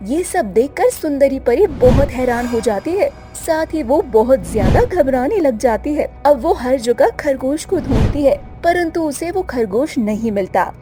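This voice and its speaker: A woman's voice: high voice, animated, measured pace, hardly any pauses. A woman storyteller reading a scripted tale in narration style. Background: background music.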